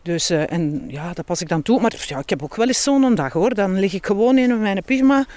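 A woman's voice: medium pitch, expressive, fairly quick, a little mellow, no echo. A woman with a Flemish accent chatting candidly and expressively as an interview guest. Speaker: guest, author and healthy-lifestyle speaker. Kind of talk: casual interview chat.

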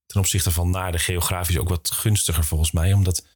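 A man's voice: low-pitched, little pitch movement, brisk, a little mellow, breathy edge. A man hosting a casual interview, asking questions in an informal, tentative way. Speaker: podcast host, interviewer.

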